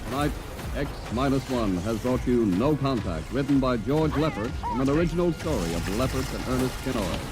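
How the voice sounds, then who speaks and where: A male radio announcer from the 1950s with a medium-pitched voice. His delivery is scripted, theatrical and portentous, leaning on key words for drama. Loud music plays behind him on the old broadcast recording. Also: lively intonation. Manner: theatrical, portentous, deliberate emphasis.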